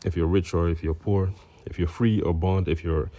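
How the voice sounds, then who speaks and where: A man's voice: sits low, steady tone, normal speed, mellow. A man with a Dutch accent, a native Dutch speaker, giving a preaching commentary as he reads aloud, earnest and peppered with "you know" and "you see.". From Netherlands. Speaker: religious commentator.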